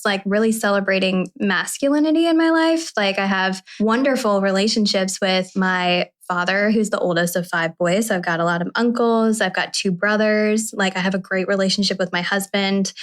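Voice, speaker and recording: A woman's voice: medium pitch, moderate pace, few pauses. A woman in her early thirties hosting a podcast, talking candidly and at length, with restarts and the odd swear. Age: 30s. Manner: candid, animated, rambling, with swearing. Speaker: podcast host. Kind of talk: casual podcast conversation.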